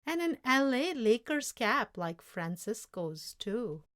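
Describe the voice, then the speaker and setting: A woman storyteller reading a children's story aloud in a measured, expressive way. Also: fairly high pitch. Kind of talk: scripted children's story narration, read aloud.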